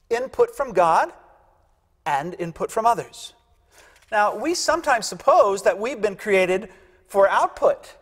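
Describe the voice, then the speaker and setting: A man in his fifties, a pastor giving a sermon in a church sanctuary, emphatic and repeating key phrases for weight. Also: high voice. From United States. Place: large church sanctuary, indoors. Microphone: lapel mic. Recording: long church reverb.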